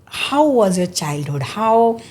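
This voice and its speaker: A woman's voice: medium pitch, big pitch swings, slow, darkish tone. A woman hosting an interview podcast, speaking earnestly and often restarting her phrases. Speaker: podcast host. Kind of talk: interview chat.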